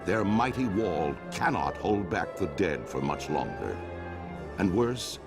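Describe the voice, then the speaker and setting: A man's voice: sits low, sing-song, takes it slowly, loud music behind the voice, heavily acted. A man playing a grave, commanding storyteller, narrating a fantasy game cutscene in a portentous, theatrical way. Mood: grave, ominous. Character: solemn, commanding male storyteller of an epic fantasy war saga.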